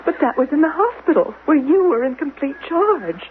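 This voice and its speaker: A woman's voice: sits high, very animated, moderate pace. A narrowband, faintly noisy 1970s radio recording of an anxious woman caught in a secret plot. She is tense and fretful, a despairing mother speaking with period radio drama intensity. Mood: anguished.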